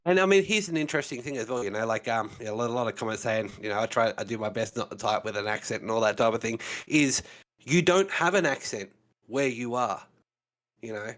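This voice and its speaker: An Australian man co-hosting a relaxed chat, with a voice sitting right in the middle of the male range. He talks in a loose, explaining way and keeps checking in with "you know". The delivery is conversational and unscripted. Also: medium pitch, fast. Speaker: podcast co-host. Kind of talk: casual chat.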